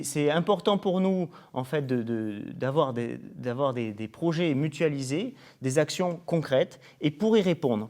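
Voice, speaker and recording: A man's voice: fairly high pitch, darkish tone. A man presenting a programme in an interview, formal and explanatory, often going through points as lists. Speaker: programme coordinator being interviewed.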